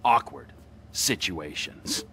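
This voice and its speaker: An actor playing a volatile, middle-aged criminal psychopath in a video game, with a sardonic, theatrical, needling delivery. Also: male voice, fairly low pitch, sing-song, takes it slowly, vocal fry, fairly bright tone, slightly rough, exaggerated voice. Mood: bitter sarcasm. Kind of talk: video game dramatic acting.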